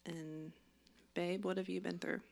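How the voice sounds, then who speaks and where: A woman's voice: fairly low pitch, little pitch movement, unhurried, gravelly. A young woman co-hosting a podcast in casual chat, talking with fillers and some swearing, recorded in a home studio. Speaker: podcast co-host.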